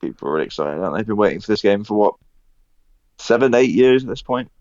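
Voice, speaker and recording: Wry low-voiced man, lo-fi mic. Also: expressive, fast, a little mellow, no room sound. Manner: wry, grumbling opinions. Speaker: podcast co-host. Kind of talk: casual gaming podcast chat. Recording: dull lo-fi mic, dry.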